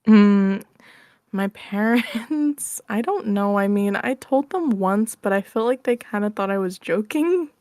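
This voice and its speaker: A woman's voice: mid-range voice, lively intonation, unhurried, a little mellow, no room sound. A woman chatting casually as an interview guest, informal and playful, speaking into a close microphone. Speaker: interview guest, ASMR roleplay creator. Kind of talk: casual chat. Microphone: close microphone on an online call.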